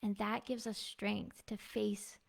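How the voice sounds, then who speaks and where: A woman in her thirties giving a heartfelt personal devotional testimony in an earnest, soft-spoken way. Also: mid-range voice, flat delivery, takes it slowly, vocal fry. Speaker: devotional speaker and music minister. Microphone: clip-on mic.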